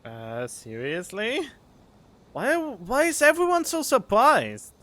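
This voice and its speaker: A man's voice: high voice, huge pitch swings, slow, bright, forceful tone. A man streaming a game with casual, playful commentary, reacting aloud.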